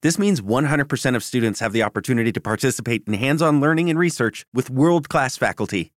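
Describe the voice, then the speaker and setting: A man's voice: medium pitch, expressive, quick pace, dry recording, studio quality. An American man reading scripted advertisement copy as a commercial announcer.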